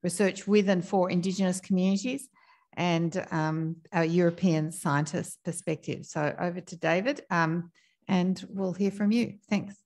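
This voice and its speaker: A woman's voice: lowish voice, steady tone, a little slow, a little mellow. A woman chairing a conference session, introducing speakers informally with frequent fillers. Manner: informal, hesitant, many fillers. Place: conference room.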